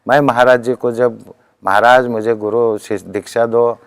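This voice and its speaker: Male spiritual teacher, Deccan Hindi accent, earnest discourse delivery, mid-pitched resonant voice in a dry recording. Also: little pitch movement, normal speed, clean tone, no echo.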